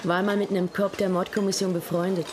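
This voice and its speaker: A woman's voice: mid-range voice, little pitch movement, fast, a crowd in the background, natural voice. An actress playing a wry, frank, streetwise woman in a German radio play.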